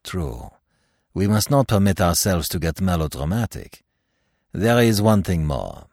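A man reading an audiobook, voicing a courteous, formal older magistrate who speaks low and quietly. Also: very animated, measured pace, no echo, clean studio sound, heavily acted.

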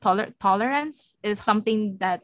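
A woman chatting frankly on a podcast over a video call, laughing easily and stopping to restart her thoughts. Her voice sits at a middle pitch and sounds dry and close, with no room around it. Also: normal speed, a bit bright, no room sound. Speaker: podcast co-host. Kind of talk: casual chat.

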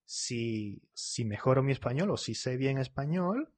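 A man teaching Spanish in a live online class, reading out and correcting viewers' comments. He talks quickly and keeps checking in with "¿vale?" and "¿eh?". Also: medium pitch, animated, a little mellow.

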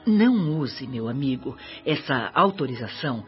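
A woman's voice: very deep voice, big pitch swings, normal speed. An actress playing a kindly older spirit woman in a Brazilian radio drama, affectionate and earnest as she counsels. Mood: earnest advice. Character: kindly motherly older spirit woman giving humble advice. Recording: dull lo-fi, music underneath.

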